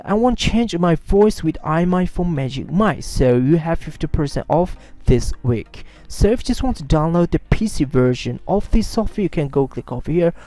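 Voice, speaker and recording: A man with a mellow, fairly high voice walks through a software tutorial into a USB microphone. He explains in quick, slightly halting steps, with faint music underneath. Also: dry recording. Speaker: tech tutorial host.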